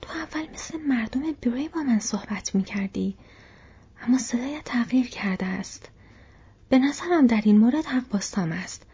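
A woman's voice: fairly high pitch, lively intonation, warm tone, husky, voice pushed up, heavily acted. A woman voicing a wary young male hobbit in a whispery, hesitant, questioning way. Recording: dull lo-fi recording.

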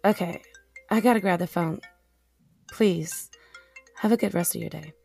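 A woman's voice: lowish voice, moderate pace, creaky, a little mellow, soft background music. An actress with an American accent playing a fed-up, defiant woman in an audio drama, blunt and emphatic. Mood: weary, upset. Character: fed-up, fiercely defiant young woman facing abusive ex.

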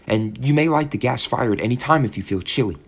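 A man's voice: mid-range voice, fast talker, husky, pitched above the performer's own voice. A man putting on a cooing older landlady voice. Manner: fussy, cooing and gushing. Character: overly sweet, slightly odd older landlady.

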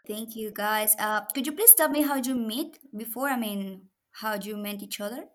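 Young woman hosting, slightly high. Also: moderate pace. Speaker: podcast host. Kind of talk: casual interview.

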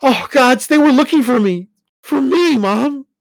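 A woman's voice: fairly high pitch, lively intonation, fairly bright tone, husky, dry recording, clean studio sound, voice pushed up, heavily acted. Woman voicing a sobbing wounded young man. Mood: distraught. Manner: halting, breaking voice. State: crying, in pain.

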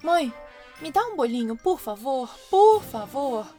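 A woman's voice: high-pitched, very animated, unhurried, mellow, faint music behind. A woman voicing a polite little boy. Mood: puzzled. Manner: polite and eager, with the request word tumbling out twice. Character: polite boy of almost ten.

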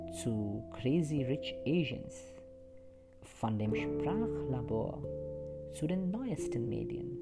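A young man hosting a podcast, opening with a soft spoken introduction and then chatting with many fillers. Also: mid-range voice. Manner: soft, slow intro over music, then chatty and halting. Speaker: podcast host. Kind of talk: podcast interview with narrated intro.

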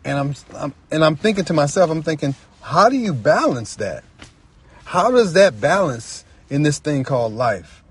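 A man talking casually and reflectively as a guest storyteller, earnest and halting, often repeating phrases for emphasis. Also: a little high, very animated, breathy edge. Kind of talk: casual, reflective personal talk.

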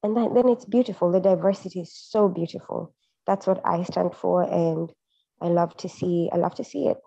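Soft-spoken woman, flat delivery. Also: on the low side, creaky, slightly rough, dry recording. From Kenya. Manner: earnest, halting, many restarts. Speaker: guest artist, photographer. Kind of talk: casual group call chat. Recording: dull lo-fi online call audio.

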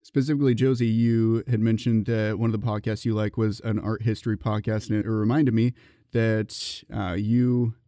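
A man's voice: fairly low pitch, little pitch movement, moderate pace, dark tone, no echo, studio quality. A man hosting an interview podcast in a casual, conversational way.

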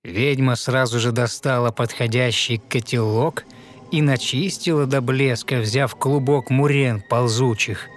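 A man narrating a fairy tale in verse as a storyteller, with measured, rhythmic, dramatic delivery. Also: medium pitch, fairly even intonation. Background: musical score.

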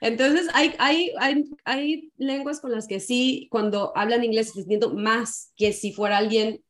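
A woman hosts a relaxed conversation. She pauses often and lets her sentences trail off with fillers. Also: fairly high pitch. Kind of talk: casual chat.

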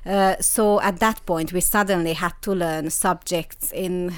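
Smooth low-voiced Hungarian woman in her forties. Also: fairly even intonation, a little slow, no room sound, clean studio sound. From Transylvania, Romania. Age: 40s. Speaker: interview guest. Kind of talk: reflective conversational interview.

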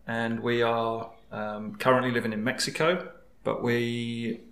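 A man's voice: mid-range voice, little pitch movement, a little slow, a bit bright, echoey room. A man from England with an English accent, a guest coach chatting hesitantly on a podcast with many fillers and restarts. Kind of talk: casual podcast chat.

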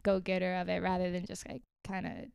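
Chatty young Houston woman, smooth clear voice. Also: on the low side, completely flat delivery, fairly quick, a little mellow, no room sound, lo-fi sound. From Houston, Texas. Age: young adult. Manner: chatty, lots of "like", laughs often. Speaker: podcast guest, dancer. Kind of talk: casual interview chat.

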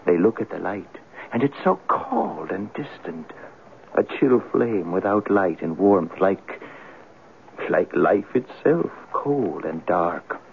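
An actor playing a solemn, weary police sergeant who muses in a halting, hushed, dramatic way in a radio drama. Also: male voice, low voice, big pitch swings, gravelly, full character voice. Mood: brooding, hushed. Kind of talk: 1970s radio drama acting. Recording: tinny, hissy old radio broadcast.

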